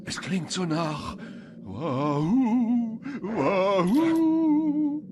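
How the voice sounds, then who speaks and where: A man voicing a goofy, excitable, good-natured country bumpkin tow truck in an animated film dub, naive and folksy. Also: high-pitched, extremely slow, heavily acted. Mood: spooked. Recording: faint background noise.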